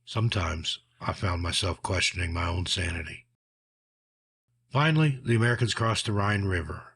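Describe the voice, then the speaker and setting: A man narrating scripted scary stories in a measured, dramatic storytelling manner, letting his phrases drop at the end. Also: low-pitched, fairly bright tone, breathy edge.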